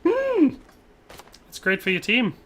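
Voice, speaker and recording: Bright, jokey male game streamer. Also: very high voice, wildly swooping pitch, takes it slowly. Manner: jokey, chatty, laughs often. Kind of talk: live gameplay commentary, reading chat.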